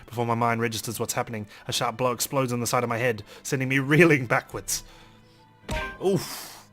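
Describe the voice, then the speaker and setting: Let's play host: man, lowish voice, very quick dramatic reading, faint music behind. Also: fairly even intonation, fairly bright tone. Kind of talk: reading game narration aloud dramatically.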